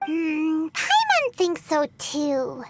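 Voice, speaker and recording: Super squeaky little fairy sidekick, excitable kid-like game character, loud music under it. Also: female voice, extremely slow, exaggerated voice. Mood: puzzled. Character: tiny chatty female fairy companion, childlike, excitable. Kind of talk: video game cutscene acting.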